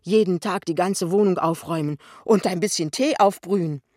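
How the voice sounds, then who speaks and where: A woman's voice: on the low side, moderate pace, a little mellow, dry recording, clean studio sound, pitched above the performer's own voice, close to an everyday voice. A woman reader acts a young husband in a novel, speaking up firmly. He is proud and testy, with a blunt, impatient manner. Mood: exasperated.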